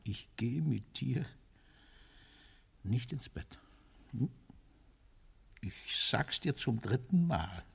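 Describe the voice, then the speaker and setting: An actor playing a world-weary, cynical military ruler in a radio drama, speaking in a bitter, deliberate, rhetorical way. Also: male voice, mid-range voice, big pitch swings, vocal fry, breathy edge, exaggerated voice. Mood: brooding, resigned. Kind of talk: theatrical radio drama monologue.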